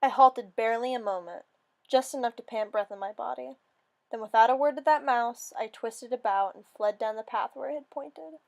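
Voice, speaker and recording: A woman with a fairly high voice reads a storybook tale aloud. Her delivery is theatrical and expressive, in the manner of a dramatic storyteller narrating in the first person. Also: normal speed, creaky. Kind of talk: dramatic reading of a fairy story.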